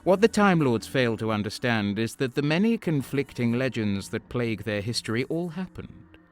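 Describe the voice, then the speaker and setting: A man's voice: medium pitch, big pitch swings, normal speed, soft background music, close to an everyday voice. A man playing a solemn, all-knowing transcendent being, narrating an audio drama in a grave, portentous, scornful way. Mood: solemn, disdainful.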